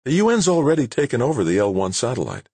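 A man voicing a brisk, good-natured but firm woman director in an audiobook, plain-spoken and patient. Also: medium pitch, huge pitch swings, darkish tone, slightly rough, dry recording, voice pushed up, heavily acted. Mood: patient, earnest. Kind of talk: acted audiobook dialogue.

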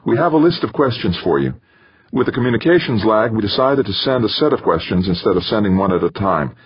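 Narrator guy as mission control man, formal n precise, voice lifted higher, slightly muffled audiobook. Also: animated, a little fast, husky. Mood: businesslike. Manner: precise, orderly. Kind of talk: audiobook character dialogue.

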